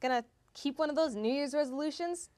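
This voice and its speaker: A woman's voice: high-pitched, brisk, dark tone. A woman doing a scripted mock TV news report as a field reporter, with an exaggerated, peppy delivery. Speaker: field reporter in a college's comedic promo newscast.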